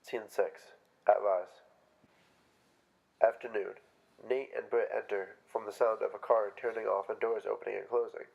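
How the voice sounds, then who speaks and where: A man reading the stage directions of a play as scripted narration in a staged reading, with a gravelly voice. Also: lowish voice, fairly even intonation, normal speed, pauses often, highs cut off.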